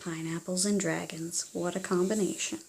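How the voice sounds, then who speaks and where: A woman reading a children's storybook aloud in a theatrical, expressive way, as if speaking directly to young listeners. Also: lowish voice, moderate pace, vocal fry, low-quality recording. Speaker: children's audiobook reader.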